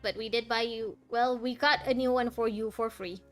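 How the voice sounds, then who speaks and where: A woman streams a game with casual running commentary. Her voice is a bit high and she rambles with hesitations. Soft music plays in the background. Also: fairly even intonation, normal speed.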